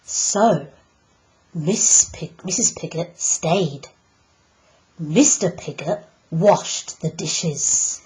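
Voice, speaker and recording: A woman teacher reading a picture book aloud, slow and deliberate with emphatic pauses. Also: sits low, a little mellow, low-quality recording. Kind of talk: storybook read-aloud.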